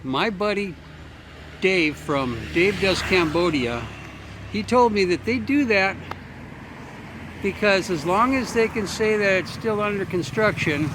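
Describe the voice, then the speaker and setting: A man chatting casually in a rambling, folksy, joking way as a travel vlogger walking along. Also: high-pitched. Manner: folksy, joking, informal mild swearing. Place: outdoors, walking along streets. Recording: outdoor street noise, passing vehicles.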